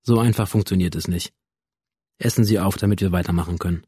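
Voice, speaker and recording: A man playing a probing, unyielding police interrogator in a radio drama, measured and clinical in his questioning. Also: low voice, very noisy, close to an everyday voice. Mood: firm. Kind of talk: modern radio drama acting.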